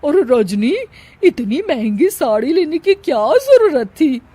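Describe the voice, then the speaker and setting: A woman's voice: sits high, very animated, a little slow, warm tone, dry recording, pitched above the performer's own voice. A woman voicing a simple, nosy older aunt asking curious questions in a family story. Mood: nosy surprise.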